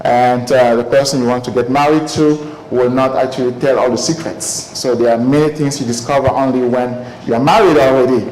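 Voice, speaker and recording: A man lectures to a gathered audience in a measured, hesitant way, often restarting his sentences. His voice sits at a middle pitch. It carries with a roomy echo over a faint hum of ambience. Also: fairly even intonation, a little mellow. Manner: thoughtful, hesitant, many fillers and restarts. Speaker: speaker addressing an audience. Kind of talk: lecture-style talk to a live audience. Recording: echoey room.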